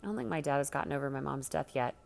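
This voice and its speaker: A woman narrates a first-person teen story in a low register with noticeable vocal fry. Her reading is scripted and expressive, with a dramatic storyteller's delivery. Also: rapid-fire. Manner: dramatic, acts out the dialogue. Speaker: story reader.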